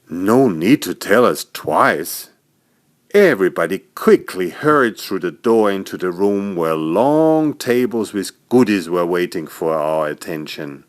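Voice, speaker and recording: A man narrating a scripted bedtime story for small children as its author, in an expressive storytelling manner. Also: lowish voice. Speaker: author narrating own stories.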